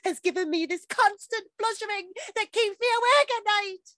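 Woman putting on a slow, overwrought lovesick boy. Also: extremely high pitch, fairly bright tone, dry recording, voice pushed up. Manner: drawn-out, overwrought delivery. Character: lovesick earnest adolescent boy reading his love letter.

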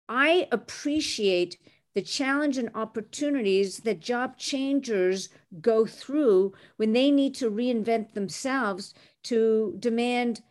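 A woman's voice: mid-range voice, darkish tone. A woman in her sixties from Canada, a recruiter, telling her career story in an interview with articulate, self-assured ease. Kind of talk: interview answers.

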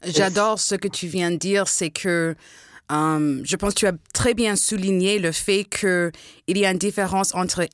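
A woman's voice: lowish voice, fairly even intonation, a little slow, no echo, clean studio sound. A woman moderating a panel discussion in an encouraging, thoughtful way.

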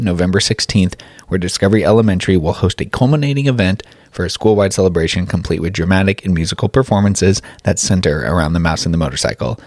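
Fast-talking, deep-voiced male podcast host. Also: darkish tone, dry recording. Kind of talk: scripted, upbeat podcast introduction.

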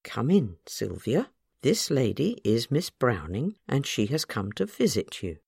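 A brisk, careful female matron of a children's home, as a woman storyteller reads her. She is formal and efficient yet compassionate, with a gravelly edge and a dry, close sound. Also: extremely low pitch, sing-song, darkish tone, no room sound, exaggerated voice. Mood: cautious, efficient.

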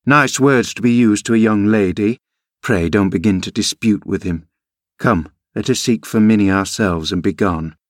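A man voicing a sensible nursemaid in a storybook, prim and soothing, gently admonishing her charge. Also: lowish voice, normal speed, no echo, studio quality, lightly acted.

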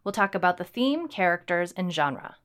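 A woman's voice: on the low side, very animated, normal speed, a bit bright. A woman co-hosting a casual home-recorded podcast, upbeat and teasing, with fillers. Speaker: writer and podcast co-host. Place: home recording setup in a house.

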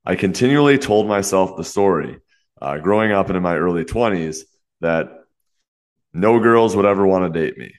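Host, young man, very low voice, congested and a bit nasal; earnest, brisk solo podcast delivery. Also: expressive. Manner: earnest, fast talk with many "you know" fillers. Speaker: podcast host and fitness coach.

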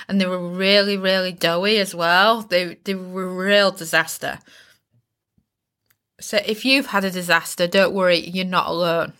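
A Yorkshire woman hosts a friendly cooking podcast in a bright, forward voice. She chats easily, laughs at herself and talks to the listener as if they were in the kitchen with her. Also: mid-range voice. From East Yorkshire, England. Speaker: podcast host. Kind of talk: chatty recipe podcast.

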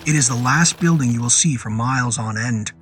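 A man narrating a scripted horror story in a deliberate, literary storytelling manner. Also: mid-range voice, fairly bright tone, faint music behind. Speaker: narrator and performer.

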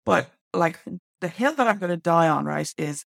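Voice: mid-range voice, animated, normal speed, vocal fry, fairly bright tone, no room sound, clean studio sound. An Irish speaker in their forties giving blunt, emphatic opinions to camera, full of asides and tag questions. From Ireland. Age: 40s. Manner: digressive with many asides and "right?" tags. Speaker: online school presenter.

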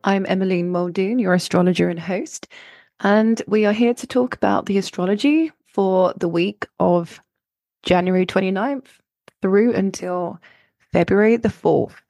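Croaky, gravelly-voiced woman podcaster. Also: mid-range voice, a little slow, mellow, no echo, studio quality. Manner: chatty, rambling with many restarts and fillers. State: croaky voice from illness. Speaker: astrologer and podcast host. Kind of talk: solo podcast talk.

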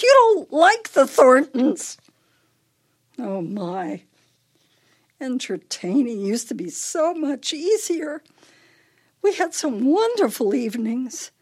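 An actress playing a fretful, grieving older wife in a radio play, plaintive and emotional. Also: female voice, sits high, exaggerated voice. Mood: wistful. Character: fretful, grieving elderly wife, clinging to memories.

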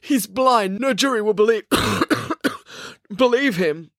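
A woman's voice: medium pitch, lively intonation, slow pace, no room sound, clean studio sound, voice pushed up. A woman voicing a haughty, indignant female athlete in an audiobook, protesting and demanding in a spluttering way. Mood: defiant, spluttering.

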